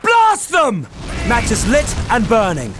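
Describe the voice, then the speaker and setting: A man's voice: very high voice, wildly swooping pitch, slow, exaggerated voice. A man playing an eager artillery soldier in a fantasy game, calling out urgently over music. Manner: shouted, urgent. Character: eager artillery crewman on a battlefield. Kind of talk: fantasy video game acting.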